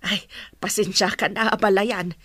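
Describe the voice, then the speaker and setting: A woman's voice: medium pitch, steady tone, normal speed, a bit bright, dry recording, clean studio sound. A woman acting an older, worried and meddling mother-in-law in a radio drama, with a Filipino accent. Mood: concerned.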